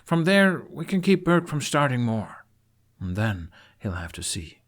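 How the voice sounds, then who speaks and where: A man's voice: medium pitch, very animated, a little slow, voice pushed up. An idealistic young prince from a fantasy audiobook, played by a male narrator. He is earnest and stubborn in how he talks. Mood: stubborn, hopeful.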